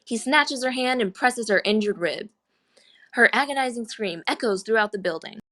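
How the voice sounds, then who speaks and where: A woman's voice: on the high side, expressive, moderate pace, fairly bright tone. A woman reading scripted screenplay action lines as the narrator of a table read.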